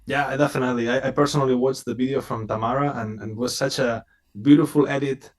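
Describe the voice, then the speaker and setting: Man, company representative, non-native English, earnest, repeats words, video call, casual chat, average pitch. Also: fairly even intonation, fast. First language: not English. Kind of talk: casual live stream chat.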